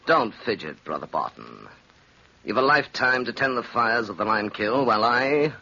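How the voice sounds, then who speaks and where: Gravelly, cold-blooded radio villain. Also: male voice, on the low side, sing-song, moderate pace, sharp tone, heavily acted. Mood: sinister relish. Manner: theatrical, grandiose, taunting. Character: cynical, cold-blooded wicked man relishing his evil. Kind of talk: old-time radio drama acting. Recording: dull, hissy old radio recording.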